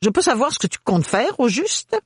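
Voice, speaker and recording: A husky-voiced male narrator voicing a stern mother who scolds her daughter in an audiobook. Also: on the high side, sing-song, normal speed, no echo, studio quality, voice pushed up. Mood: scolding. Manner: scolding, incredulous.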